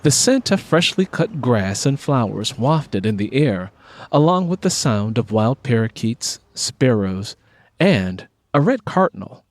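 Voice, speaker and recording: A man's voice: mid-range voice, sing-song, unhurried, darkish tone, dry recording. A man reading scripted fiction as an audiobook narrator.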